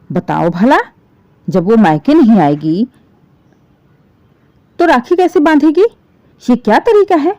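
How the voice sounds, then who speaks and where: A woman's voice: high-pitched, mellow, no room sound, muffled recording, voice pushed up. A woman storyteller voicing a worried middle-aged mother who protests and questions plaintively on behalf of her daughter.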